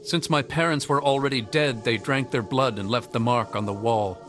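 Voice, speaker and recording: A man's voice: medium pitch, moderate pace, fairly bright tone, faint music behind, close to an everyday voice. An actor playing a troubled, orphaned young man in a video game, speaking in a plain, reflective way. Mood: somber, confessional.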